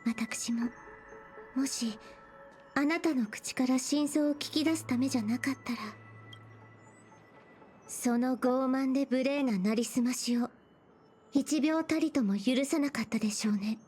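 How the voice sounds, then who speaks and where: A woman plays a resolute young sister in an anime game. Her high voice is poised and earnest, sometimes breathy, with music clearly behind it. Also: brisk, long pauses, a little mellow, natural voice. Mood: cold restraint. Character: gentle-spoken but resolute young woman, protective sister. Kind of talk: Japanese anime-style game acting.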